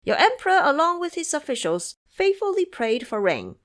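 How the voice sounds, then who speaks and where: A woman's voice: high voice, very animated, normal speed, pitched above the performer's own voice. A woman voicing a cocky magical monkey disciple with teasing, dramatic emphasis in an audio drama. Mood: sly, explanatory.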